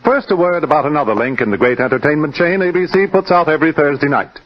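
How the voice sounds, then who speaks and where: Male network announcer, fairly high pitch, promotional, formal scripted delivery, dull vintage radio recording. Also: expressive, fast.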